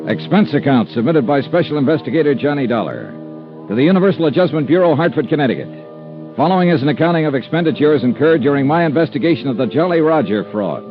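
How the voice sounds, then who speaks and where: Hard-boiled American male investigator, acted by a man, animated pitch, clipped and world-weary, muffled old-radio sound. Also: lightly acted. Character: hard-boiled, wry freelance insurance investigator, adult man. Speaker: lead actor and first-person narrator. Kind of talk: 1950s radio detective acting and narration. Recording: muffled vintage radio recording with music.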